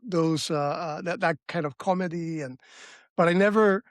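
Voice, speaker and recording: A male interviewer with a slightly high voice chats casually. He feels his way through sentences haltingly, searching for words. The recording is dry, with little room sound. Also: dry recording. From Cuba. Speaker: interviewer, host.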